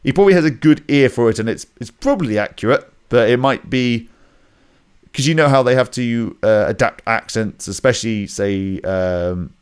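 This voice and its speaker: Measured British man, podcast co-host. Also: mid-range voice, clean tone. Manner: measured, agreeable, with many fillers. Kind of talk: casual chat.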